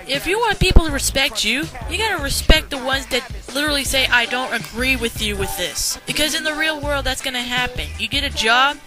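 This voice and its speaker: Young woman, blunt ranting commentary, unscripted, animated, music playing behind. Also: sits high, a little fast, hardly any pauses. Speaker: commentary video host.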